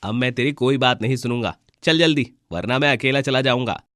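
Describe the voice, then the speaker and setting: A man's voice: medium pitch, brisk, fairly bright tone, no room sound, clean studio sound, lightly acted. A man voicing young brother birds in a children's cartoon, emphatic and boyish. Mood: bored, insistent. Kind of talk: Hindi children's cartoon dialogue.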